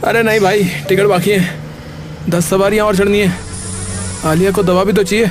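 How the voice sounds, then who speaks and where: A weary, hard-up bus conductor played by a man pleads in plain colloquial speech. He is a worried father in an animated story, and loud music runs behind him. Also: sits high, moderate pace, voice pushed up, close to an everyday voice. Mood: weary, insistent. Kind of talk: animated story character dialogue.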